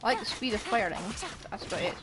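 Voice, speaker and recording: A woman's voice: on the high side, big pitch swings, fast talker. A woman giving casual, playful gameplay commentary, muttering reactions to herself as she streams. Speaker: game streamer.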